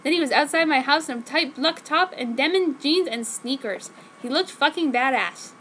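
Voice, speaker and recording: A woman giving a theatrical, mock-dramatic comedic reading as narrator, deliberately overwrought. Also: sits high, animated, fairly bright tone, a little hiss or hum. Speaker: narrator reading fan fiction aloud.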